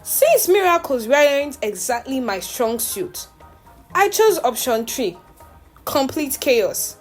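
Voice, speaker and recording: A woman's voice: high voice, very animated, takes it slowly, faint music behind. A woman narrating a scripted first-person story in a theatrical, suspenseful manner.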